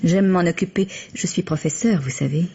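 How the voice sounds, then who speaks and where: A kindly schoolteacher in an old radio mystery. Her voice is mellow and a little husky, with a gentle, reassuring manner, on a dull lo-fi recording. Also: fairly low pitch, normal speed, full character voice. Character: kindly, helpful female schoolteacher, fond of children. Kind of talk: vintage French radio mystery acting.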